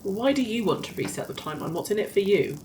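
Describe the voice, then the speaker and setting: A woman voicing a defiant, threatening heroine in a tabletop game, with stern, deliberate, formal declarations. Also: medium pitch, lively intonation, a little mellow, slight background noise, voice pushed up, natural voice. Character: defiant, threatening female avenger of the good.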